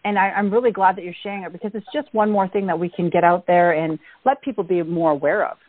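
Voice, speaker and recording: Effusive husky woman host, phone line. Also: on the low side, steady tone, fast talker, no room sound. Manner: effusive, praises the guest often. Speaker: podcast host. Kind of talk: interview hosting. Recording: dry, tinny phone-like line.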